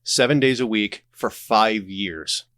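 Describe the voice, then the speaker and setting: A man talking casually as a podcast guest, telling anecdotes in an animated, conversational way. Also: fairly low pitch, slow, dry recording, clean studio sound. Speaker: podcast guest, audio drama creator.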